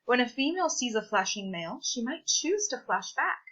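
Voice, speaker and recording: Bright, high-voiced woman reading aloud. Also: big pitch swings, moderate pace, husky, dull audio. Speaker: read-aloud presenter. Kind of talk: reading a children's science picture book aloud.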